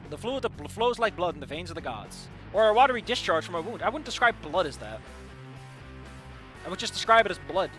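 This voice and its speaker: A man's voice: high voice, big pitch swings, fairly quick, a bit bright, faint music behind. A man reading a fan fiction story aloud live, breaking off often to make casual, profane, joking comments about the writing.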